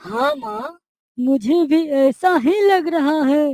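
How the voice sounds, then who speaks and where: Woman as a slow, gentle village lad. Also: extremely high pitch, animated, creaky, no echo, studio quality, exaggerated voice. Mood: grateful, calm. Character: simple kind-hearted young village son, humble. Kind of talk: cartoon moral story dialogue.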